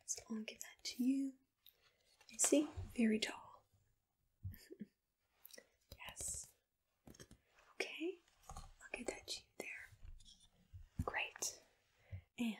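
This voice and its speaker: A woman speaking in a breathy, mostly whispered voice, gently playing an attentive agency assistant in an ASMR roleplay, close to the microphone. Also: a little high. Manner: gentle, personal, unhurried customer-service address.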